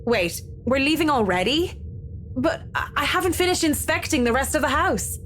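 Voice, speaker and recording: A curious young heroine in a visual novel. She speaks casually and wryly in a slightly high voice. A faint hum of ambience sits under her lines. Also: big pitch swings, normal speed, a bit bright. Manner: casual, wry, slightly hesitant. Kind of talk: voiced video game dialogue.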